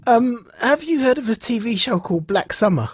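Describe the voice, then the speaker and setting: Husky-voiced man chatting on a podcast. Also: very high-pitched, lively intonation, brisk, dry recording. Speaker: podcast co-host. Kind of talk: casual chat, reviewing TV shows.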